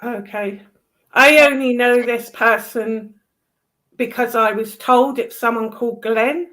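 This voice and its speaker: A woman's voice: on the high side, steady tone, slow pace, a bit bright. A woman chatting casually in an exasperated, emphatic way, with some casual swearing. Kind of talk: casual chat.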